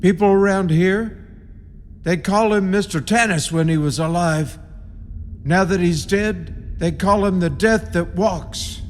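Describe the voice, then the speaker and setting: A man's voice: high voice, a little slow, slight background noise. A man playing an ominous rural caretaker in a radio horror play, giving grim, cryptic warnings in folksy country grammar. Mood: grimly foreboding. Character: ominous rustic caretaker, grimly warning intruders. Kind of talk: radio horror drama acting. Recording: long reverb with music behind.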